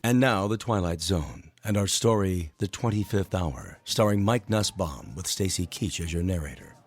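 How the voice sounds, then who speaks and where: A solemn radio drama announcer with a very deep voice. He delivers a scripted introduction in a presentational way, over clearly audible music. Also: lively intonation, moderate pace.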